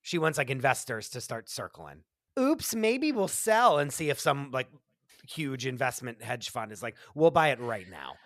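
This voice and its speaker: A man co-hosting a comedy podcast in a dry, close-miked sound. He talks in an animated, theatrical way, full of fillers and quick asides, at a mid pitch. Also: no room sound. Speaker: podcast co-host. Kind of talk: casual comedic chat.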